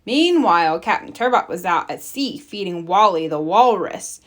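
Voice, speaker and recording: A woman narrating a children's storybook in an animated read-aloud style. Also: lowish voice, moderate pace. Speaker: storybook reader.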